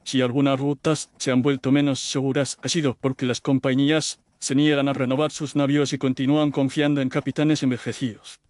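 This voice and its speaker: A man's voice: medium pitch, little pitch movement, fairly quick, hardly any pauses, darkish tone, no room sound, close to an everyday voice. A man playing a defensive, haughty engineer in an audio drama, formal and self-justifying. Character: defensive, haughty male engineer accused of sabotage.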